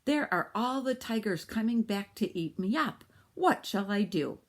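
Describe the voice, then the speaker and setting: A woman voicing a small frightened boy in a storybook, speaking in a high, pleading childlike voice. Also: lively intonation, a little slow.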